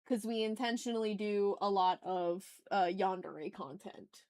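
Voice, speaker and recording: A woman's voice: medium pitch, fairly even intonation, measured pace, darkish tone, dry recording, clean studio sound. A woman chatting casually and playfully with her viewers as she hosts a live art stream. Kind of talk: casual livestream chat while drawing.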